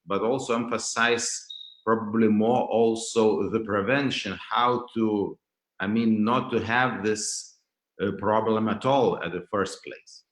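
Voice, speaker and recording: A roomy, echoing video-call recording of a man with a Lithuanian accent. His voice sits fairly low as he presents an academic lecture online, haltingly, doubling back on words. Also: fairly bright tone. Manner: halting, repeats words and restarts phrases. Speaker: dental professor and clinician giving a lecture. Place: indoors, remote video call.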